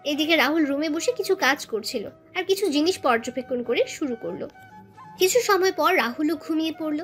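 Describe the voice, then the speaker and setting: High-voiced woman narrating a story. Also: animated, brisk. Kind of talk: scripted romantic family story narration. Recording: music bed under voice.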